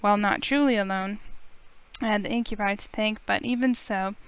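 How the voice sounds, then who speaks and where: Casting: female streamer narrating a visual novel, clear smooth voice, mid pitch, expressive storytelling. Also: a little fast, poor audio.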